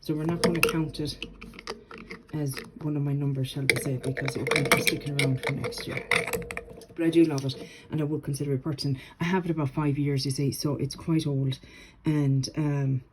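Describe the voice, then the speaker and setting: A woman's voice: low voice, a little fast. A woman with a stuffy, snuffly voice from a cold, chatting casually through a product review with many ums. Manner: relaxed and chatty, with frequent ums. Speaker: beauty vlogger. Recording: noisy home recording.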